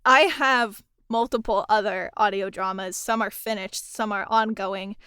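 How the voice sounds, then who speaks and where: A woman's voice: medium pitch, unhurried, sharp tone, clean tone, dry recording, clean studio sound. A woman chatting casually as an interviewer and fellow producer.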